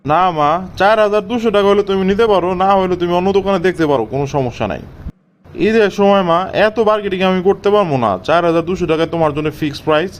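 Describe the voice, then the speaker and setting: A man's voice: high voice, rapid-fire, slight background noise, natural voice. A man with a Bangladeshi accent playing a stubborn, profiteering shopkeeper in a cartoon skit, haggling insistently in colloquial speech. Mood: firm, unyielding.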